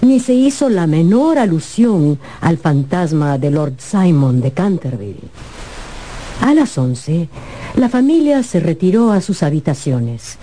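A woman narrating a scripted radio story in a measured, dramatic storytelling manner. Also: on the low side, very animated, dark tone, slight background noise.

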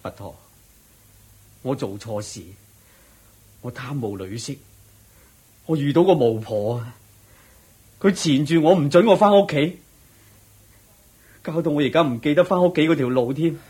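An actor with a Hong Kong Cantonese accent playing a guilt-ridden, weak-willed village husband in a radio drama, sighing and pleading anxiously. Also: male voice, fairly high pitch, animated, measured pace, long pauses, a little mellow, husky. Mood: confused, distraught.

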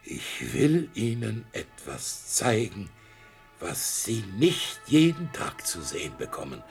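A proud, bitter old blind collector. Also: male voice, lowish voice, huge pitch swings, slow, creaky, soft background music, heavily acted. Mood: hushed proud. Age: older. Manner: boisterous, scornful outbursts swinging to hushed intensity. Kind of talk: radio play acting.

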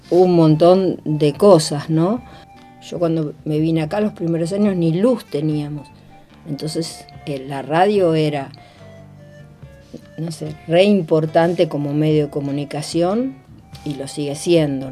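A woman's voice: deep voice, dark tone. An older woman with an Argentine accent casually recalling her life as an interviewee. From Quilmes, Argentina. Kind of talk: casual recollection. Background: faint music.

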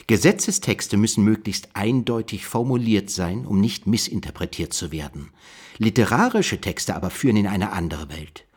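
An actor playing a pondering investigator who muses through clues of a literary case in a radio play. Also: male voice, sits low, husky.

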